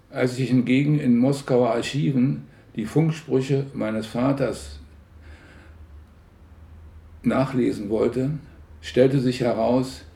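Older Berlin man, witness recollection: creaky, mellow, halting and reflective, roomy sound. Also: medium pitch. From Berlin, Germany. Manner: halting, reflective, broken phrases. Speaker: contemporary witness recounting family history. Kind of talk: personal spoken recollection.

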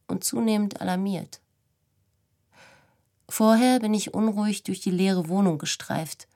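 A woman acting as an adult daughter soberly recalling a troubled childhood in a measured radio play monologue. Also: mid-range voice, vocal fry, darkish tone. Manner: measured, sober first-person recollection.

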